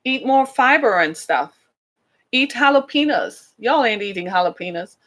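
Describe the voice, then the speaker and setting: A woman's voice: fairly high pitch, expressive, moderate pace, fairly bright tone. A woman from the British Caribbean with a Caribbean accent, hosting a podcast in a chatty, emphatic monologue full of rhetorical questions. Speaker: podcast host.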